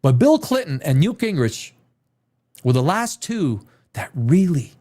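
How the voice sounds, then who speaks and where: A man's voice: a little high, big pitch swings, measured pace, warm tone. A man hosting a livestream chat, blunt and profane, hammering his points with repetition. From Canada. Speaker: livestream host.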